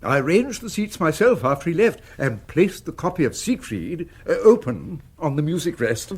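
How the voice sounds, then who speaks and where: An actor playing a mild, devoted and deferential husband in a radio comedy, with an upper-class English accent. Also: male voice, on the high side, big pitch swings, moderate pace, a little mellow, husky. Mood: fond, agreeable. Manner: deferential, fussy, eager to please. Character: mild, devoted husband of a village social queen. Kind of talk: radio comedy drama acting.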